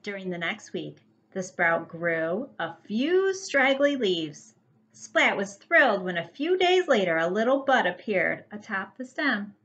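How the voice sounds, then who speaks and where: A woman reads a picture book aloud to small children like a first grade teacher. Her voice is bright and lively, with big swings of pitch and emphatic storytelling at a slow pace. The sound is a little dull.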